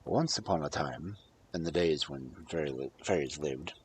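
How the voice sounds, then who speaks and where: A man reading fairy tales aloud as a storyteller, with a husky voice and a dramatic delivery that moves between hushed and emphatic. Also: rumbling low, moderate pace. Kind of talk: expressive fairy-tale narration, read aloud.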